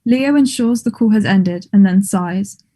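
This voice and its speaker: A woman reading stage directions as scripted narration in a measured, neutral manner. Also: medium pitch, dark tone.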